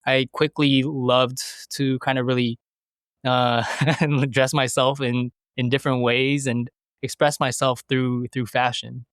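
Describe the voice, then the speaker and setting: A man in his mid-thirties from the United States, talking through his answers in a relaxed interview. His voice is smooth and resonant, and it sits at an ordinary middle pitch. The recording is dry and close. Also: measured pace, no room sound. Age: 30s. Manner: thoughtful, hesitant, many fillers and restarts. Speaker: podcast guest. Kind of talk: conversational interview.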